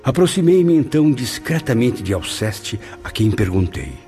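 An actor in a radio drama playing a reflective spirit who narrates his memories in a measured way. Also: mid-range voice, big pitch swings, warm tone, soft background music, lightly acted.

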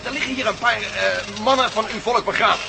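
An anxious, eager scientist with a fairly high man's voice. The old radio play recording has a clear hiss behind it. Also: fairly quick. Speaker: Stevens, a scientist.

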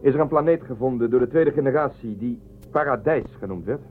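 An actor playing a brisk, sceptical spaceship commander in a science-fiction audio drama. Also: male voice, mid-range voice, natural voice. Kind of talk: science-fiction radio play acting. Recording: phone-like band, faint hum.

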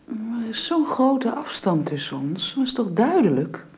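A woman's voice: a little high, very animated, moderate pace, a little mellow. An actress playing a hesitant, earnest and deferential young woman in a Dutch radio drama. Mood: troubled, hesitant.

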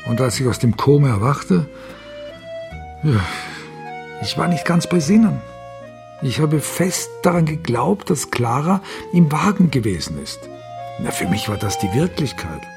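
A haunted, obsessed suspect in a radio crime play. His mid-pitched voice is halting and bitter as he broods, with music clearly playing behind him. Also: sing-song.

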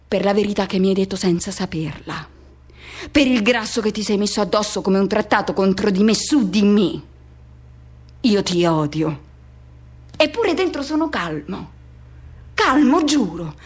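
A woman's voice: medium pitch, expressive, unhurried, long pauses, slightly rough. A woman performing a radio theatre monologue as an embittered, seething man haunted by a lost love, with intense, incantatory delivery. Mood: resentful, resolute. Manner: intense, incantatory repetition, swinging from hushed to shouting.

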